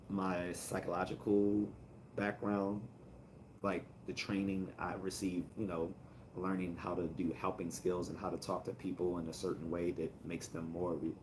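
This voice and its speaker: Calm low-voiced man chatting on a livestream. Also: background noise. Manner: rambling, with many fillers and restarts. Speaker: livestream host. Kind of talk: casual livestream chat, reading comments.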